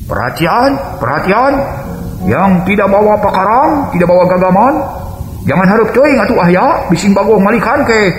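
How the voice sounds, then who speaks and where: A man's voice: high-pitched, very animated, normal speed, roomy sound, big-room sound, slight background noise, pitched above the performer's own voice, poor audio. A man voicing an anxious village official, announcing warnings urgently in a folk tale.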